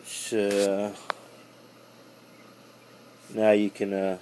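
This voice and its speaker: A man giving a casual hands-on equipment demonstration, instructing in a halting way over faint background noise. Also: fairly low pitch, completely flat delivery, mellow. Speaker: demonstrator of a CB radio.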